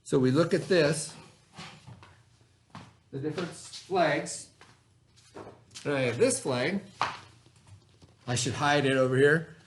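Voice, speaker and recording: A man's voice: a little high, slow, pauses often, a little hiss or hum. A man reading a lesson aloud as a teacher, explaining as he goes and checking understanding with simple questions.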